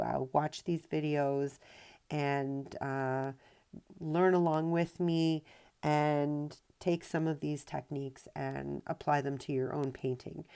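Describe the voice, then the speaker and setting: A woman host narrating a painting tutorial in a chatty, relaxed way, her voice nasal from a cold and full of ums and uhs. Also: deep voice, vocal fry. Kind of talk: casual voice-over tutorial narration.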